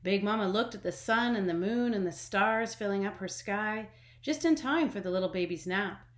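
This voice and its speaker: A woman reading a children's storybook aloud expressively, with dramatic emphasis and hushed phrase endings. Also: mid-range voice, moderate pace. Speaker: storybook reader. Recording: echoey room.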